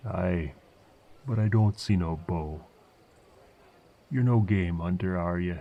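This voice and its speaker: An aged, humble villager in a fantasy audio drama, with a rustic burr. He rambles folksily and curiously at a very slow pace. The voice is deep and dark, the sound a little dull. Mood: curious, wary. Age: older. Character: old injured village farmer, humble, chatty and curious. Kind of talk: fantasy audio roleplay acting.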